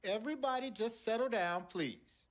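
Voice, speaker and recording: A man playing a middle-aged, plain-spoken father in a game cutscene, folksy, deliberate and authoritative. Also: sits high, animated, fairly bright tone. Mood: firm, measured. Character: steady, principled, plain-spoken family father. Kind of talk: naturalistic dramatic acting in a game cutscene.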